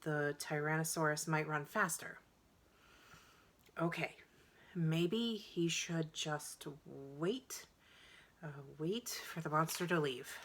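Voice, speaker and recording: A woman voicing an anxious young boy adventurer in a children's storybook, talking to himself in an urgent, hushed way. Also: sits low, takes it slowly, pauses often, heavily acted. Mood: nervous, hushed.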